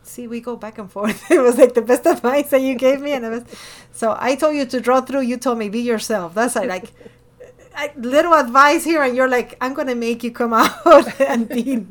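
Podcast host, female, Latin American Spanish accent, slightly high voice, expressive and emphatic in casual conversation. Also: moderate pace. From born in Miami, lived over a decade in Ecuador.

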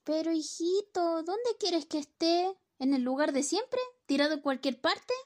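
High, flippant scolding wife for a radio drama, played by a woman. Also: unhurried, darkish tone. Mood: chiding. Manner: flippant, nagging. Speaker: student radio drama actor. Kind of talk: amateur radio play acting.

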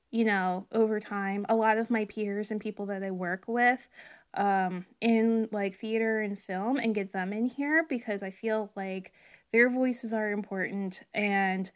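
A young woman talks through a casual solo podcast episode, rambling and chatty with plenty of ums. Her voice carries a slight rasp left over from a cold, at a middle pitch for a woman. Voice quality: slightly raspy. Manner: chatty, rambling with many fillers. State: recovering from a cold, slight cough. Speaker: podcast host.

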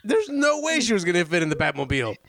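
A man's voice: high-pitched, wildly swooping pitch, fast, creaky, fairly bright tone, no echo, studio quality. A man hosting a casual film podcast, talking enthusiastically and rambling with frequent restarts. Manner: enthusiastic, rambling, self-interrupting. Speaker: podcast host. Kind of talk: casual chat about old films.

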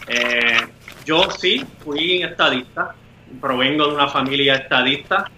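A man's voice: fairly high pitch, unhurried, noisy background. A man with a Puerto Rican accent answering as a guest over a video call, earnest, with "eh" fillers between sentences. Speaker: guest, lawyer. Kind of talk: interview answers. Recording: roomy, dull video call audio.

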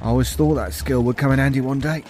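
An actor playing a dim, crude young punk sidekick in an audio drama, slow-witted and ungrammatical. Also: male voice, mid-range voice, fairly even intonation, a little mellow, soft background music, lightly acted. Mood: confused.

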